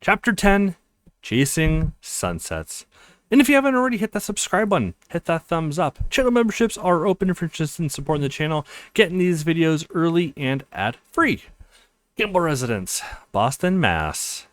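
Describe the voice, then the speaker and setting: A man's voice: fairly high pitch, sing-song, normal speed, no echo. A man hosting a let's play, talking to viewers and reading visual novel text aloud as narration. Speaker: let's play video host.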